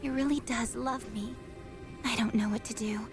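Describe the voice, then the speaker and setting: A woman's voice: on the high side, steady tone, normal speed, music in the background, close to an everyday voice. A woman voicing a torn young princess. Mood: pensive. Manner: quiet, introspective inner monologue. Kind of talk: game cutscene voice acting.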